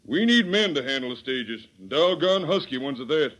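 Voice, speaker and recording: An actor playing a gruff, blunt Old West stagecoach boss with an American accent and rustic speech, in 1930s radio western style. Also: male voice, mid-range voice, animated, normal speed, fairly bright tone, close to an everyday voice. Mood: blunt, probing.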